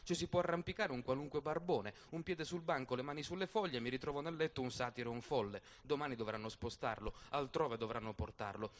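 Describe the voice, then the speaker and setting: A man's voice: mid-range voice, fairly quick, few pauses, lightly acted. A man playing a capricious, exacting young lady of the stage, with dramatic, declamatory delivery, in a radio theatre piece. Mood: musing, indignant. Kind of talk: theatrical verse monologue and dialogue. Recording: voice over prominent plucked guitar music.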